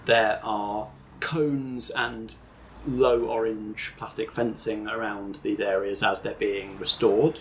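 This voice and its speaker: Gravelly low-voiced man. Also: steady tone, slow, a little hiss or hum. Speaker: game master and show host. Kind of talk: narrating a tabletop roleplay podcast.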